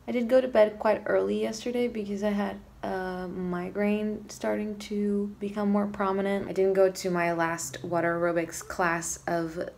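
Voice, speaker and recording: A groggy young woman talking to her phone in a small room at home, creaky voice, rambling through her day. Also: medium pitch, level tone, moderate pace, hardly any pauses, a little mellow. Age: 20s. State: tired, groggy. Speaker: vlogger. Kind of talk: casual chat to camera. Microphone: phone or camera at arm's length.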